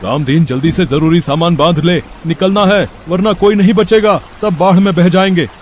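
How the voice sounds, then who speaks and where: A man's voice: high-pitched, moderate pace, close to an everyday voice. Man acting an urgent helpful neighbour, noisy background. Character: helpful, urgent village neighbour, an older-brother figure. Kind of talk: Hindi moral-story cartoon voice acting.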